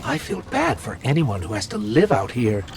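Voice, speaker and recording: An actor voicing a friendly, wry robot companion in a video game, talking in a conversational, earnest way. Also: male voice, fairly low pitch, sing-song, normal speed, creaky, background noise. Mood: concerned. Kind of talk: video game cutscene acting.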